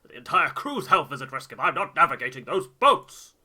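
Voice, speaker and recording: A man's voice: on the high side, big pitch swings, fairly quick, sharp tone, pitched above the performer's own voice, full character voice. A man voicing a hearty, stubborn, middle-aged navigator in a game, blustering and emphatic.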